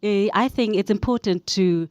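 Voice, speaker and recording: A woman from Germany around forty, giving a slow, deliberate conference talk as a panelist with some hesitations. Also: mid-range voice, darkish tone, no echo. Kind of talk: conference speech. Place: conference hall.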